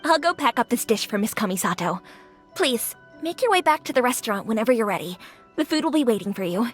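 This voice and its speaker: An actress playing an enthusiastic, friendly young restaurant owner in a video game, full of exclamations. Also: female voice, fairly high pitch, big pitch swings, quick pace, soft background music. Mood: excited.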